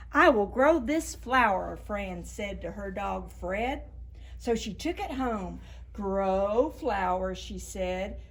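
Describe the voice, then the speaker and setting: A woman reading stories aloud to young children as a storytime host, expressive and deliberate, stretching out key words. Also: a little high. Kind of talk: read-aloud storytelling for preschoolers.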